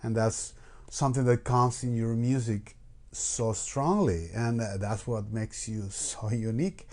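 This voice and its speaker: A man's voice: medium pitch, fairly even intonation, measured pace, a little mellow. A man hosting a live-streamed interview with a musician, talking casually with many fillers and restarts. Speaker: host.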